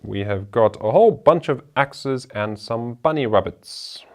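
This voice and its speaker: A man's voice: mid-range voice, animated, a little slow. A man hosting a board game show, talking through the rules and each move of a solo playthrough in a chatty way.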